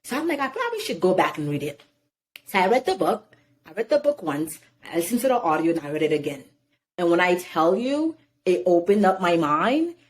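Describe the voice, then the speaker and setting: A woman's voice: fairly low pitch, a little fast, slight background noise. A woman in her thirties, born in Haiti, telling stories animatedly in a casual interview and leaning often on "you know". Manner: animated storytelling, many "you know" fillers. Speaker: guest.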